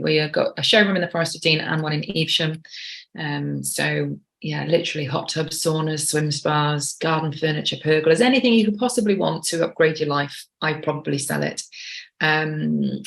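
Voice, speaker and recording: A woman with a fairly low voice and noticeable creak chats casually as a guest. She is informal, talking like a small business owner and correcting herself as she goes. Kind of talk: casual chat.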